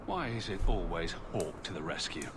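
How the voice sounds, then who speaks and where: Wry tired game hero, sardonic, low voice, super noisy audio. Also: male voice, sing-song, normal speed. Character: wry, weary male adventurer hero.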